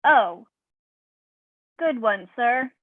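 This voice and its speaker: An actress playing a polite, dry-witted robot assistant in a staged sci-fi play, speaking formally and deadpan. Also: female voice, fairly high pitch, extremely slow, exaggerated voice. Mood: deadpan teasing. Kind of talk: staged reading of a science fiction play. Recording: thin telephone-like sound.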